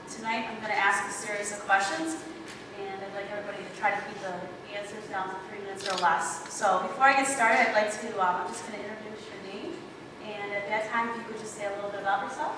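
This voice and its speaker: A woman moderating a panel, loud and upbeat with quick asides. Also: medium pitch, a crowd in the background. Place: event room. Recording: echoey hall mic from far off, background noise.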